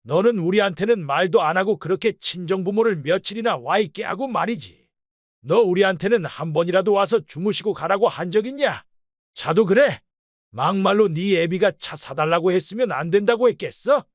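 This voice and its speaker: A man's voice: high voice, animated, normal speed, no echo, studio quality. A man playing a brazen, blustering old father-in-law in a radio drama, scolding coarsely and blaming everyone else. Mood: indignant ranting. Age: older. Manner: scolding, coarse, self-pitying. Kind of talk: Korean radio drama acting.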